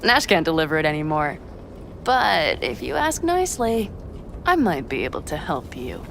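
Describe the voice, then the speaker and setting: A hot-headed young nomad woman in a game scene, swearing freely and speaking bluntly. Her voice is slightly low and very animated, with clear vehicle ambience behind it. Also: normal speed, background noise, heavily acted. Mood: curt, defiant. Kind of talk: video game cutscene acting.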